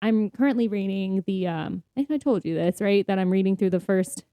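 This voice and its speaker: A woman's voice: mid-range voice, fairly quick, dark tone, clean tone, dry recording. Female co-host, mid-range voice, informal and chatty, dry studio-style sound. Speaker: podcast co-host. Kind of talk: casual conversational podcast chat.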